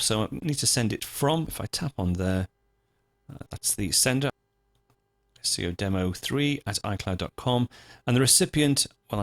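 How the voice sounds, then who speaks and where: A man presenting a software tutorial, talking informally and methodically through each step as he goes. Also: fairly low pitch, dry recording, studio quality. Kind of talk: screencast tutorial, unscripted step-by-step narration.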